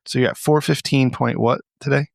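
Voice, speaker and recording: A man's voice: mid-range voice, steady tone, brisk, vocal fry, no room sound, studio quality. A man hosting a podcast in casual, profane, teasing banter, laughing easily. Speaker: podcast host. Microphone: close mic on a group remote call.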